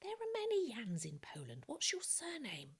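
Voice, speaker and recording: A woman's voice: sits high, wildly swooping pitch, moderate pace, breathy edge, voice pushed up, full character voice. A woman voicing a kindly, grateful man on the run in a storybook, with an earnest, questioning manner. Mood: grateful, curious.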